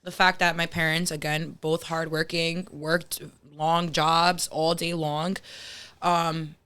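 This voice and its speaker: A young woman hosts a casual conversation, talking reflectively and frankly and leaning on fillers. Her voice is low-ish for a woman but bright and smooth. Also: level tone, slow. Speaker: podcast host.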